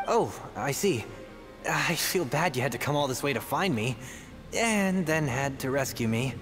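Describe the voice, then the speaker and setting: Game role: actor as young male beginner adventurer, timid, earnest, admiring, loud music bed. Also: mid-range voice, animated, moderate pace, close to an everyday voice. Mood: apologetic. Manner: earnest, soft-hearted. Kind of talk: video game voice acting.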